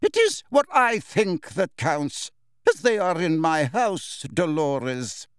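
A man's voice: fairly high pitch, big pitch swings, slow pace, smooth, dry recording, clean studio sound, pitched above the performer's own voice. A man voicing a strict, imperious older female schoolteacher in an audiobook, clipped, indignant and emphatic. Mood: icy restraint.

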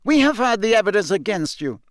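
Man doing stern old judge bellowing, raised and slow, dry room. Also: sits high, very animated, no room sound, pitched above the performer's own voice. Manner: bellowing, clear pronouncements. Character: stern, hate-filled older male judge.

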